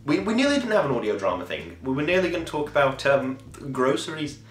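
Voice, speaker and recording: A man's voice: fairly high pitch, big pitch swings, quick pace. A man in his twenties giving a casual, rambling, enthusiastic review to camera, with a self-deprecating and digressive manner. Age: 20s. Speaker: vlogger and podcast host. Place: small home room, indoors. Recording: echoey room with music behind.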